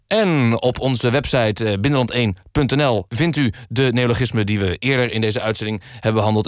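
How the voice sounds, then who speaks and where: Deadpan radio host, dry studio sound. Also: male voice, medium pitch, no echo. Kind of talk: mock-serious radio magazine presenting.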